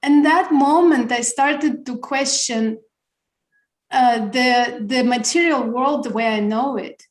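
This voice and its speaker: Middle-aged Russian-born woman, higher voice, halting thoughtful delivery, echoey room on a call. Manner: thoughtful, halting, restarts words. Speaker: guest. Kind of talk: reflective interview conversation. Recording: roomy echo.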